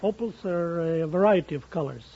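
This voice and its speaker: A man's voice: fairly high pitch, fairly quick. A man answering questions as a contestant on a 1950s radio quiz show. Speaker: quiz contestant, diamond merchant. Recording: noisy muffled 1950s radio, studio audience. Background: studio audience.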